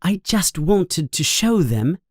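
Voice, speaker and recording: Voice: mid-range voice, sing-song, slow pace, husky, no echo, studio quality, voice pushed up, heavily acted. A narrator voicing an earnest, bossy, inquisitive schoolgirl in a storybook, with an emphatic, questioning manner. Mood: gentle, polite. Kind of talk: storybook character voice.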